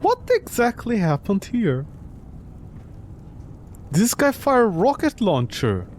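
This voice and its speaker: Higher-pitched sarcastic man commenting on a game. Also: huge pitch swings, slow pace, darkish tone, slight background noise. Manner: sarcastic, nitpicking. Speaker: streamer playing and commenting on a horror visual novel. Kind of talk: gameplay commentary mixed with reading dialogue aloud.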